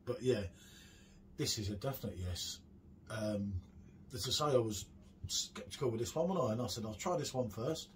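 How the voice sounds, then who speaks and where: A middle-aged man chats casually as he reviews what he is tasting. His pitch sits in an ordinary male range. There is clear background noise around him in a small bar room. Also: normal speed, low-quality recording. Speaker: beer reviewer.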